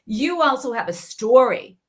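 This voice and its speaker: A woman with a strong Boston accent, a guest on a livestream, telling her story in a lively way and quoting the people she spoke to. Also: fairly high pitch, a little slow. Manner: animated storytelling, quoting others. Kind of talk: livestream conversation.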